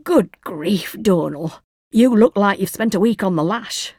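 A woman's voice: mid-range voice, lively intonation, unhurried, a little mellow, no room sound. A woman acts out an old Yorkshire lady from next door in an audiobook. She is kindly and familiar, chatting with homely concern. The sound is dry, with no room around it. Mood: chatty concern. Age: older.

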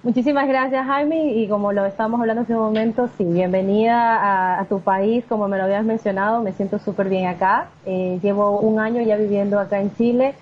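A woman's voice: mid-range voice, fairly even intonation, fairly quick, few pauses. A woman, a corporate trainer, answering interview questions on a radio show in a friendly, explanatory way. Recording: dull lo-fi radio with faint noise.

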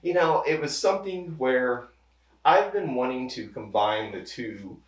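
A man in his thirties, a pharmacist, talking casually as an interview guest, relaxed and rambling with many restarts. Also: mid-range voice, measured pace. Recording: roomy echo, distant mic.

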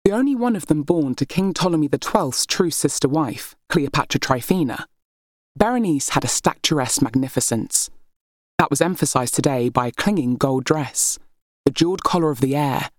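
A woman reading historical fiction as an audiobook narrator, in expressive scripted storytelling. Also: low-pitched, moderate pace, no echo, clean studio sound.

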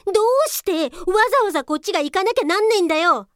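A woman acting as a sharp-tongued, know-it-all office software cop in a comedic radio drama, blunt and rough-spoken. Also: very high-pitched, very fast, dry recording, studio quality. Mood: exasperated. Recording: voice over clear background music.

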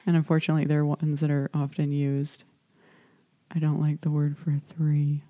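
A woman's voice: low voice, monotone, normal speed, dark tone. A young American woman chatting casually as podcast host, hesitant and self-deprecating with frequent laughs. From United States. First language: English. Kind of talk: casual chat.

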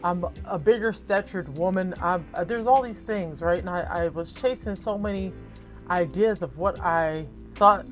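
A deep, low-pitched woman in her thirties talks straight to her listeners as a podcast host. Her delivery is candid and conversational, peppered with profanity and quick rhetorical check-ins. Faint music sits underneath her voice. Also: highs cut off. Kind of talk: casual solo podcast monologue.

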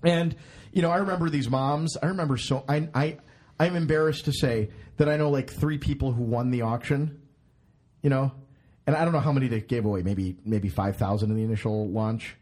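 A man's voice: mid-range voice, fairly quick, darkish tone. A man in his thirties hosting a podcast in casual chat, rambling, swearing and laughing at himself. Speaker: podcast host.

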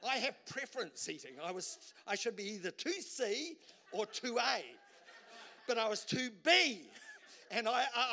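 A male preacher with a high, bright, ringing voice delivers an animated sermon. He swings from loud, shouted bursts to quiet, low asides, often repeating words as he drives home a point. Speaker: pastor.

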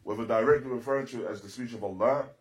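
Deliberate, emphatic male religious teacher. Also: medium pitch. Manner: deliberate, emphatic, halting in short repeated phrases; switches into fluent Arabic quotations. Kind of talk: religious lecture explaining a text.

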